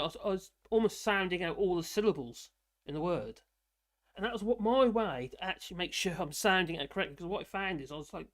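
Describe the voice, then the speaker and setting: A man from the UK with a fairly high voice, hosting a live stream and rambling casually through viewer comments with frequent chuckles. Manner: rambling, self-deprecating, chuckling often.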